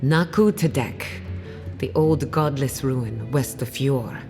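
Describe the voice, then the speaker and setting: Low voiced wry scholar lady, confident n measured, game dialogue w music behind. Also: darkish tone. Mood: determined. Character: driven, wry female scholar, guarded about family loss. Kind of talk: video game voice acting.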